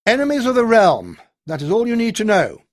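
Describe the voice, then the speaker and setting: A man's voice: sits high, huge pitch swings, fairly quick, voice pushed up. A man doing a stern army colonel. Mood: commanding. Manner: clipped, authoritative, raised voice. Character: commanding male army colonel, stern and weary.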